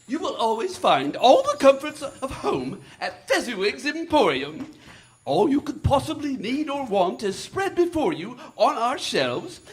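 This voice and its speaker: An actor playing a jolly old merchant, hearty and proclaiming, in a live radio play. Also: male voice, very high-pitched, full character voice. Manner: hearty chuckling, proclaiming salesman patter.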